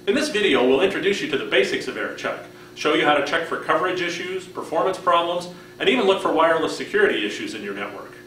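A man's voice: on the high side, expressive, quick pace, hardly any pauses, a bit bright, roomy sound, slight background noise, poor audio. A man in his fifties with an American accent gives a scripted product demonstration in a friendly, instructional way. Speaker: product presenter.

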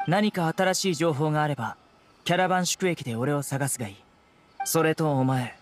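A man's voice: fairly high pitch, natural voice. A man playing a stern young law enforcer in a Japanese video game, composed, blunt and commanding.

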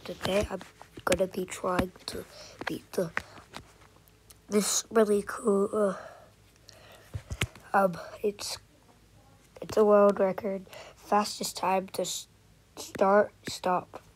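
A young woman vlogging with a stuffy cold, sniffling, talking in unhurried bursts that jump into excited gasps and squeals. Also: medium pitch, a little hiss or hum, low-quality recording. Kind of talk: casual screen-recording vlog.

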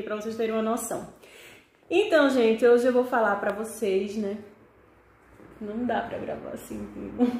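A woman's voice: a little high, slight background noise. A young woman with a Northeastern Brazilian accent chatting casually and expressively to her viewers, speaking straight to the camera. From Pernambuco, Northeast Brazil. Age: young adult. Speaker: vlogger. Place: small living room with desk, indoors. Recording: echoey room.

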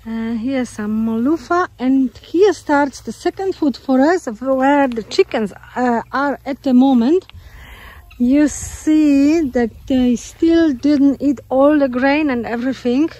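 Slow-talking woman touring her farm. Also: sits high, dark tone, poor audio. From Australia. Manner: unhurried, hesitant with fillers. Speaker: homesteader giving a farm tour. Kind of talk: casual walkthrough narration. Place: outdoors in a rural garden with poultry. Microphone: phone camera held while walking.

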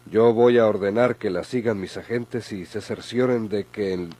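An actor playing a commanding official giving orders in a Mexican radio soap, with a Mexican accent. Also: male voice, fairly low pitch, moderate pace, warm tone, natural voice. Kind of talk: Mexican golden-age radio soap acting.

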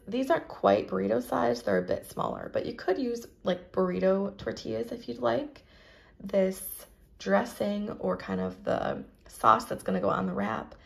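An American woman in her thirties with a creaky voice, chattily narrating her cooking step by step in a casual, informal way. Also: mid-range voice. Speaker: home cook vlogger. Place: home kitchen, indoors.

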